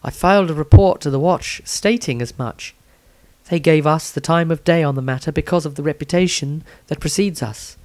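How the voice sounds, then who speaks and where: A man's voice: on the high side, normal speed, no echo, voice pushed down, natural voice. A composed, observant woman voiced by a man reads from her diary in a fantasy story. The phrasing is formal and careful, and the sound is dry. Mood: composed, puzzled. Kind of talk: in-character fantasy audiobook diary.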